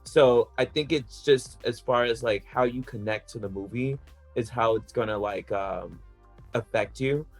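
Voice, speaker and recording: A man's voice: mid-range voice, a little slow, soft background music. A man chatting casually on a podcast panel, rambling through his opinions with lots of "like" fillers and the odd laugh. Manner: rambling, heavy use of "like" fillers; laughs between points.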